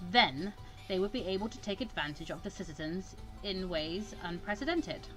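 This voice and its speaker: A woman voicing a stern, condescending male mentor in a visual novel, measured and dry as he instructs a student. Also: lowish voice, animated, a bit bright, faint music behind, pitched below the performer's own voice, rough recording. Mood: lecturing.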